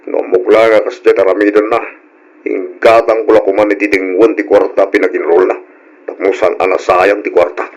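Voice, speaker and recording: A man's voice: on the low side, level tone, normal speed, lightly acted. A man playing a grumbling, disappointed middle-aged father in an old-style radio drama. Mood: exasperated, disappointed. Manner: complaining, exasperated. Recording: dull, lo-fi old radio recording.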